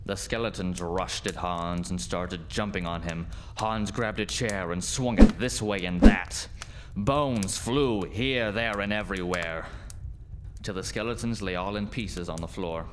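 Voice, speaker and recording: A man's voice: deep voice, measured pace, heavy background noise. A man narrating a scripted folk tale as the storyteller in a radio play.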